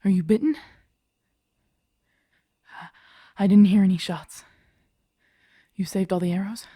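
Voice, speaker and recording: A woman playing a protective, guarded young survivor of a zombie apocalypse in an intimate audio roleplay, with hushed, urgent, halting delivery. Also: medium pitch, breathy edge, dry recording, studio quality, natural voice. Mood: anxious, shaken.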